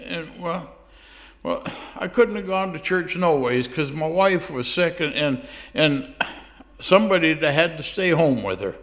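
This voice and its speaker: A man's voice: fairly high pitch, vocal fry, a bit bright. A man playing a sheepish churchgoer who stammers out excuses to God on the phone. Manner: stammering, hesitant, with many restarts. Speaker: church speaker. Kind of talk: comic phone-call monologue.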